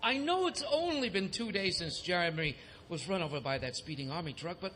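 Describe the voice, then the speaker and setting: Smarmy suitor, male actor: high-pitched, lively, pushy, blustering, comic live audio drama. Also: fairly quick, slight background noise. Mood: wooing. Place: stage, live audio theatre performance.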